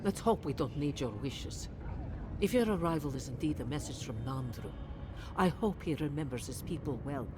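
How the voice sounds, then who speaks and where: An actress playing an anxious, devout leader of an endangered keep, earnest and formal, in a video game cutscene. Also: female voice, expressive, moderate pace. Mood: grave, resigned. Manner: earnest, urgent, emotive, formal. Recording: clear rumbling ambient noise behind.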